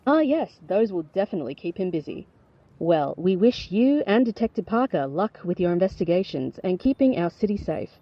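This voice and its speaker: An actress playing an eager, polite field reporter with emphatic broadcast delivery in an audio drama. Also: female voice, medium pitch, big pitch swings, moderate pace, no room sound, highs cut off. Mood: curious, polite.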